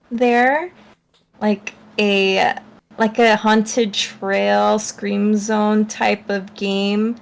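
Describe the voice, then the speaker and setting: A woman speaks very slowly in a casual, unscripted way, with plenty of vocal fry. Her delivery is halting and hedging as she reviews books as a co-host. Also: mid-range voice. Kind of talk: casual chat, unscripted book summary.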